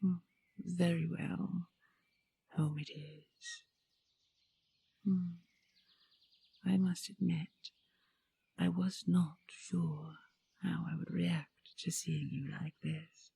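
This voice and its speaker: Woman acting a tender, teasing queen, hushed breathy voice, gravelly edge, unhurried intimate delivery, romantic fantasy audio drama. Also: on the low side, steady tone, exaggerated voice. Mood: tender, reflective. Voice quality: breathy, often dropping into whispers. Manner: slow, lingering, with soft hums and pauses.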